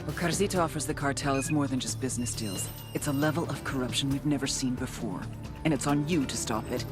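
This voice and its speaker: An actress playing a tough, sardonic intelligence agent briefing operatives in a game, wry and punchy, with cynical bite. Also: female voice, extremely low pitch, quick pace. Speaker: mission briefer. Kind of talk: scripted game cutscene narration. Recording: music bed under the voice.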